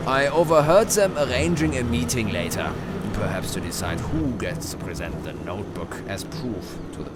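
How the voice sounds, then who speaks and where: An actor playing a wry, chummy soldier in a wartime video game, with breezy, expressive delivery. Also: male voice, medium pitch, normal speed, few pauses, very noisy, close to an everyday voice. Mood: conspiratorial. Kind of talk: acted video game dialogue. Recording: very loud music over dialogue. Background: very loud soundtrack music.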